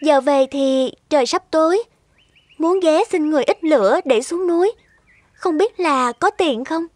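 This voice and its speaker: A woman voicing a gentle, courteous young lady of good family in a cartoon fairy tale. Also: high voice, measured pace, no echo. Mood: curious, polite. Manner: courteous, sweet. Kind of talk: animated fairy tale voice acting. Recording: music under the voice.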